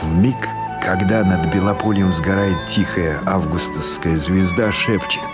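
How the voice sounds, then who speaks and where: A man's voice: low voice, unhurried, over loud music. A man reading literary prose as a scripted audiobook narration.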